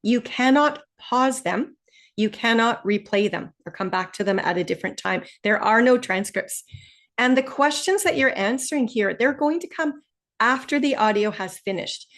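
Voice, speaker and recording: A woman from Canada with an Ontario accent, teaching an online test-prep webinar in a friendly, explanatory way and slowing down to stress key points. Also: a little high, lively intonation, fairly bright tone.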